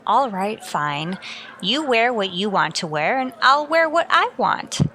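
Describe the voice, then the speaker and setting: A woman playing a wry, lovestruck high school teacher in an old-time radio comedy, with a dry, sly manner. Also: sing-song, slow, bright, forceful tone, heavily acted. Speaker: lead actor and narrator. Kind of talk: old-time radio comedy acting, first-person narration.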